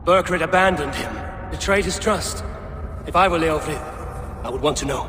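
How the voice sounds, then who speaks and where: Firm warrior. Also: male voice, a little high, normal speed, sharp tone, slight background noise. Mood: firm, persuading. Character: resolute male Viking warrior, persuasive and merciful. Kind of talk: dramatic video game acting. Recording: dramatic music behind the voice.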